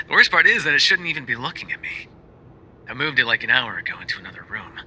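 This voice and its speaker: A man's voice: mid-range voice, sing-song, a little fast, bright, forceful tone, slight background noise, low-quality recording. A man playing a lovesick, jealous mafia boyfriend in an intimate ASMR roleplay monologue, conversational and sardonic, dropping into whispers. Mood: wry, exasperated.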